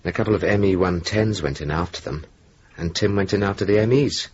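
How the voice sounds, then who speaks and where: An actor playing a mild young RAF officer with clipped, understated, quietly droll replies, in naturalistic radio drama style. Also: male voice, low-pitched, brisk, lightly acted. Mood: subdued. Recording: muffled, noisy old radio broadcast.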